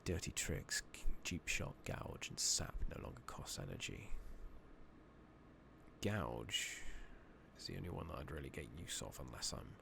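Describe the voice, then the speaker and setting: A man giving a soft-spoken, partly whispered ASMR game playthrough, musing to himself and reading game text aloud over a noisy makeshift microphone. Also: extremely low pitch, big pitch swings, measured pace, gravelly. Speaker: ASMR gaming streamer. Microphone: close makeshift replacement microphone.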